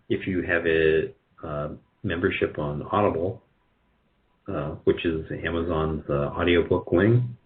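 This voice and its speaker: A man giving casual interview answers in a halting, self-deprecating way over a phone-like connection. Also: sits low. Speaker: guest, radio drama producer. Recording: tinny phone-like line.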